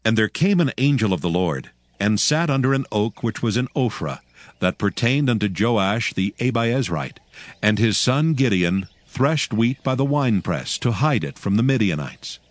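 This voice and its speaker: Low-voiced male scripture narrator. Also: very animated, dry recording. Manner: measured, solemn. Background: music.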